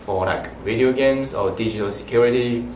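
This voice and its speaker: A young man whose first language is Mandarin, answering interview questions in halting, simple phrasing. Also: mid-range voice, a little mellow, slight background noise. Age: young adult. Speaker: language student. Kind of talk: casual interview. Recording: roomy echo.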